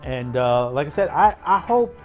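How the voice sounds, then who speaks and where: A man's voice: mid-range voice, very animated, normal speed, faint music behind. A man hosting an opinion video, talking casually and emphatically to viewers in a rambling, repetitive way. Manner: rambling, repeats himself, emphatic. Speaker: host of an opinion video channel.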